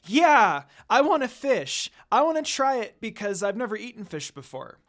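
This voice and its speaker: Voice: mid-range voice, moderate pace, pitched above the performer's own voice. A narrator voicing an eager, cheerful little girl who exclaims happily in a fan story. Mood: happy, eager. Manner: excited, happy exclaiming.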